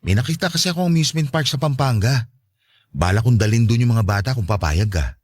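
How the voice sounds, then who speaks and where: A man's voice: medium pitch, expressive, quick pace, husky, no echo, clean studio sound. A man playing a cheerful, devoted boyfriend in his thirties in an audio drama, earnest and conversational. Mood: eager, playful. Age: 30s. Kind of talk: Tagalog audio drama dialogue.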